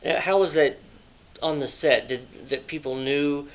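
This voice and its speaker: A man in his thirties hosting an interview in a small studio, eager and chatty with quick agreements. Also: a little high. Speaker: talk show host. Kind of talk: casual interview. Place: small studio set with couch.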